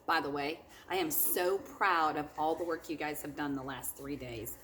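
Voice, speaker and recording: A woman reading a children's picture book aloud like a teacher, expressive and dramatic, with drawn-out words and hushed moments. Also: low-pitched, slight background noise, rough recording.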